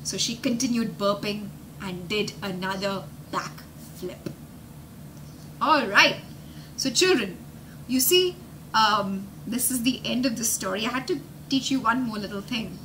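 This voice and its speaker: A young woman telling a story as a children's teacher, dramatic and emphatic, pausing to explain words with synonyms. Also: medium pitch, fairly bright tone, slight background noise, rough recording. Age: young adult. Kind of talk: animated storytelling lesson with explanations. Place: indoor room with glass-fronted bookshelves.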